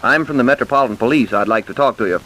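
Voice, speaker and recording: An actor playing a formal, doubting British police detective in an old-time radio drama, measured and dry. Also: male voice, medium pitch, a bit bright, a little hiss or hum, lightly acted, poor audio. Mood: polite, businesslike.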